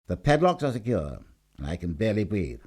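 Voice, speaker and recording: A man's voice: on the low side, sing-song, brisk, a little mellow, slightly rough. A man voicing a self-important, jealous husband who works as a stage escapologist in a story, pompous and exclamatory. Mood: pompous, strained.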